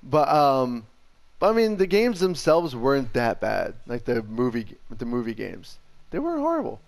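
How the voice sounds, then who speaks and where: A man's voice: medium pitch, wildly swooping pitch, normal speed, creaky. A young man chatting casually while playing a video game, slangy and sweary, peppering his talk with "like". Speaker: gaming show host.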